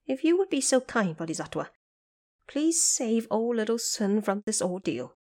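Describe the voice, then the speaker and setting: A woman voicing a trapped male monkey sage in an audio drama, eager and pleading, with a loud, excitable delivery. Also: a little high, moderate pace. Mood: aggrieved, pleading.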